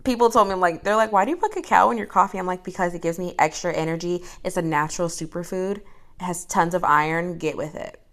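A woman's voice: on the low side, fast. A young woman co-hosting a casual podcast, playful and giggly, teasing with silly repetitions. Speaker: podcast co-host. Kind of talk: casual reality TV recap chat.

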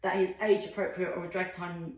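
A woman's voice: fairly low pitch, level tone, fairly quick, a little mellow, a little hiss or hum. A woman hosting a podcast, chatting emphatically and reacting with sympathy. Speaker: podcast host. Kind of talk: casual chat. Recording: very echoey room.

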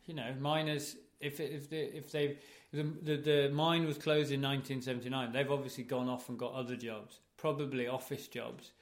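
A man's voice: medium pitch, level tone, moderate pace, hardly any pauses, echoey room. A man from Sweden co-hosting a podcast, teasing his partner with dry, wry remarks. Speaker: podcast co-host. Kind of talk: casual banter.

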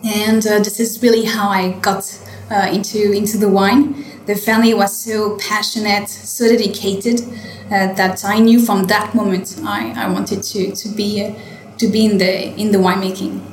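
A young French woman winemaker speaks English with a clear French accent, stopping on fillers and repeating words as she searches for them. Her voice sits mid-pitch and carries the ringing echo of a stone wine cellar. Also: flat delivery, normal speed. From France. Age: late 20s. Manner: hesitant, many fillers and restarts. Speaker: winemaker guest. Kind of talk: interview answers.

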